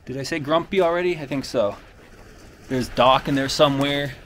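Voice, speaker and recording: Man host, middle pitch, hammy storyteller tour, faint noise outdoors. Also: moderate pace, fairly bright tone. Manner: theatrical, storybook-like exclamations. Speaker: host giving a guided walk-through. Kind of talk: playful narrated tour. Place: outdoor trail at night.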